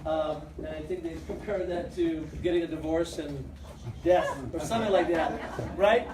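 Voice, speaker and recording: A man's voice: a little high, moderate pace. A man giving a casual, chatty motivational talk as a guest presenter, drawing a live audience in with questions. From born in Jordan, moved to America at five. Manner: chatty, engaging listeners with questions. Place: indoor room with live audience. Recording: echoey room, distant mic, background noise.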